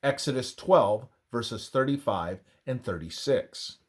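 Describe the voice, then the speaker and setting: Male narrator, mid-pitched, for scripted religious history. Unhurried, deliberate read with widely varied intonation. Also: animated, breathy edge.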